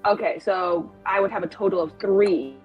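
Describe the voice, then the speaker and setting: A woman's voice: medium pitch, moderate pace, muffled recording, soft background music. A woman playing a tabletop roleplaying game, chatting casually and playfully with goofy exclamations.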